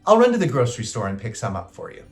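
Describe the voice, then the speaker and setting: A man's voice: mid-range voice, wildly swooping pitch, very fast. A man voicing a kind, helpful mother in a children's storybook reading. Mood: friendly, helpful.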